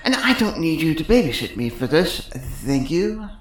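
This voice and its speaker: A woman's voice: low voice, very animated, moderate pace. A woman playing a cocky, sarcastic male electrical technician in a sci-fi comedy audio drama, smug and wordy. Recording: roomy echo.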